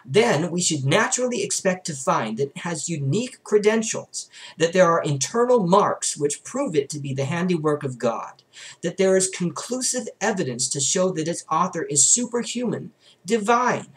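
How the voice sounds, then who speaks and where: A young man reads religious prose aloud from a book. His delivery is formal and emphatic, with a lively pitch that rises and falls widely across each sentence. He is recorded indoors at a desk in a small room. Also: normal speed. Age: young adult. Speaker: book reader.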